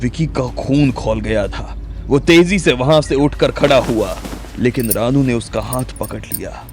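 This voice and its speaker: A man's voice: medium pitch, measured pace, a little mellow. A man narrating a scripted audio drama story as its storyteller. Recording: music bed under the voice.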